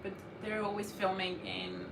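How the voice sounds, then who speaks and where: A woman's voice: medium pitch, flat delivery, normal speed, creaky. A young woman with a non-native accent, chatting shyly and laughing often as co-host of a livestream. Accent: non-native English accent. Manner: laughs often, shy and brief. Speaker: co-host, partner of the host. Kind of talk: casual livestream chat. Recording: echoey room with noticeable noise.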